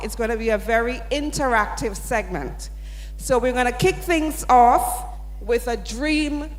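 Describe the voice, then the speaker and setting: Brief: woman presenter, slightly high voice, coaxing the crowd, reverberant hall. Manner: upbeat, coaxing audience participation. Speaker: sponsor representative presenting at a student event. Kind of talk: interactive address to an audience. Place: large hall.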